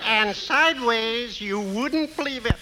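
Through heavy old-radio noise comes a droll little husband with an extremely high voice. He gripes jovially and deliberately, in 1940s radio comedy style. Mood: droll, mocking. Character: chatty little husband griping jovially about his wife. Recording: very noisy old-time radio recording.

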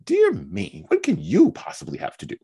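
A fretful, self-pitying young wife who whines through every complaint, as a man reading aloud puts her on. Her voice rises well above his own husky register and swings about with each grievance. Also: high-pitched, wildly swooping pitch, moderate pace, mellow, pitched above the performer's own voice, heavily acted. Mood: complaining. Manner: whiny, complaining.

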